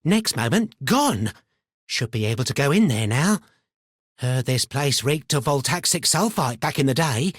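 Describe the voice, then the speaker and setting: An actor voicing an eccentric, paranoid, chatty miner in a video game, with a rambling, colloquial delivery. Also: male voice, medium pitch, animated, unhurried, slightly rough, no room sound, studio quality. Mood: chatty, wry. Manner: rambling, muttering, colloquial, theatrically swinging between chummy and suspicious. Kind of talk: video game character voice lines.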